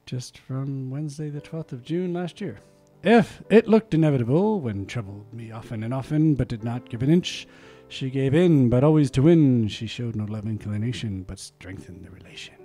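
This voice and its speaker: Mellow-voiced man reading poems theatrically. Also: medium pitch, lively intonation, normal speed. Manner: theatrical, swells loud on key lines, then drops to quiet murmured asides. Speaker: community radio host. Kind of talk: dramatic poetry reading with chatty asides. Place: small community radio studio. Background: soft music underneath.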